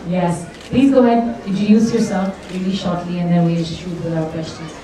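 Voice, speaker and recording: A woman's voice: lowish voice, steady tone, normal speed, dark tone. A woman casually hosting a live pageant event in an indoor hall, speaking to a contestant. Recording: echoey hall, distant, audience noise.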